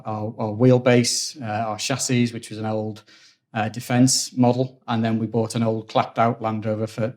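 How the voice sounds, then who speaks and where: A Liverpool man in his forties tells stories at a relaxed pace in an office interview. His voice stays level and even, with little rise and fall. He often stumbles over and repeats words while he looks for the next thought. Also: fairly low pitch. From Liverpool, England. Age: 40s. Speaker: guest, engineering executive. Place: office at company headquarters.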